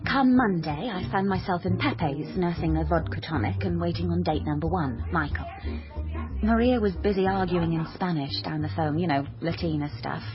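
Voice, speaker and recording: A woman's voice: fairly low pitch, normal speed, muffled recording, music in the background, lightly acted. An actress playing a naive young woman who dreams of being a noir detective, earnest, awkward and self-deprecating in a radio drama. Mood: eager, proud. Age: young adult. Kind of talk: radio drama acting and confiding narration.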